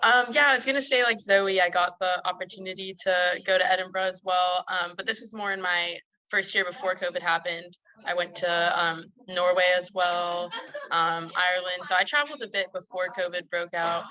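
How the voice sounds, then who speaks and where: A woman's voice: on the low side, steady tone, moderate pace, sharp tone, rough recording. A young woman student chatting casually about her own experience. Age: young adult. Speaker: student panelist. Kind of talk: casual chat.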